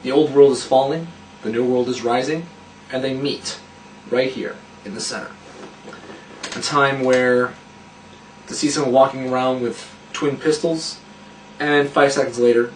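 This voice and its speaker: A man's voice: mid-range voice, steady tone, moderate pace, long pauses, a little hiss or hum. A man explaining a fantasy setting behind the scenes in a thoughtful, explanatory way. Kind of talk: behind-the-scenes casual chat. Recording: echoey room, distant mic.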